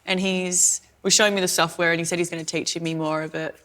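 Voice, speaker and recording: A woman's voice: on the low side, flat delivery, fast talker, fairly bright tone. A woman in her early thirties co-hosting a podcast, chatting in a rambling, giggly way. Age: 30s. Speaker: podcast co-host. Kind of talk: casual chat.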